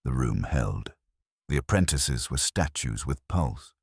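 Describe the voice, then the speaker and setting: Male narrator for detective audiobook, extremely low register, lively intonation, close dry sound. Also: measured pace, gravelly, slightly rough, no room sound. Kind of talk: scripted first-person detective narration.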